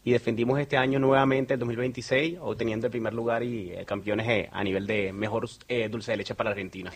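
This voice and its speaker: A man's voice: medium pitch, fairly even intonation, fast talker, husky. A man from Venezuela with a Venezuelan accent, a company sales manager speaking as a guest in a radio interview, earnest and promotional, with many fillers.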